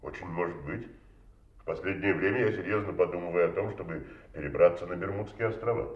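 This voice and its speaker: An actor playing a genteel, evasive wealthy gentleman under suspicion in an audio drama, urbane and ironic in manner. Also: sits low, fast, roomy sound, far from the mic, muffled recording, lightly acted. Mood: guarded, evasive.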